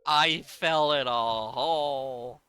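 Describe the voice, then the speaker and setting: Bright, animated-talking man streaming games. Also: mid-range voice, slow, clean tone, no room sound. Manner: playfully exasperated, swearing, exclaiming and talking to the game. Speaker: livestreamer. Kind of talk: casual gaming livestream commentary.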